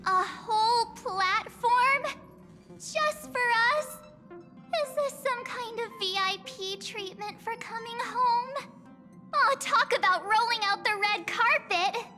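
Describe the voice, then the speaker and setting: A very high, bright teenage girl adventurer in anime game style. She is bubbly, kind and expressive, with reverb and faint music around the voice. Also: takes it slowly, heavily acted. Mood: excited. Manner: perky, expressive.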